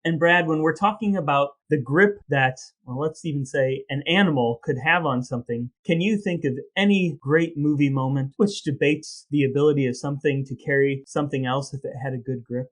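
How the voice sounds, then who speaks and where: A man's voice: fairly high pitch, fairly quick, few pauses. A man co-hosting a casual comic podcast, playfully spinning absurd ideas in a matter-of-fact way. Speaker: podcast co-host.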